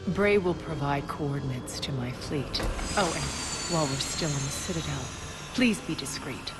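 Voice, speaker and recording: A woman playing a commanding, ruthless space pirate warlord in a video game cutscene, imperious and dryly assured, with loud music behind her. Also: deep voice, lively intonation, normal speed. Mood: cool, commanding.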